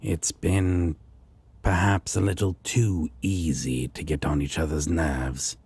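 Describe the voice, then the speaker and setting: Man acting a low, husky, sardonic demon. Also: fairly even intonation, darkish tone, full character voice. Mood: dry, annoyed. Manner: slow, dry drawl with pet names. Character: sardonic male demon roommate, exasperated yet fond. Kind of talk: audio roleplay acting.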